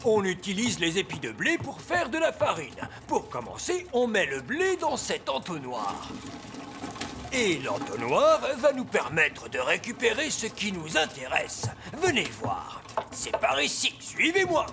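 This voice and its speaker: A man's voice: sits high, measured pace, vocal fry, heavily acted. A man voicing a wise old male elf miller in a children's cartoon, explaining things expressively like a patient teacher. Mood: instructive. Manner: didactic, exclamatory, expressive. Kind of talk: children's cartoon dub acting. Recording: music behind the voice.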